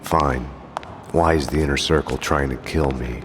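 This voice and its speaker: An actor playing a world-weary hard-boiled detective in a video game, delivering terse, deadpan noir lines. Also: male voice, a bass voice, moderate pace, noisy background. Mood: terse, dry. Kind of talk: scripted noir game narration.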